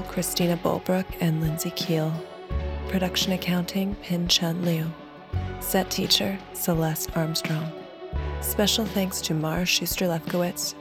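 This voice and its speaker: Woman credits announcer, measured reading, low and monotone over clear music.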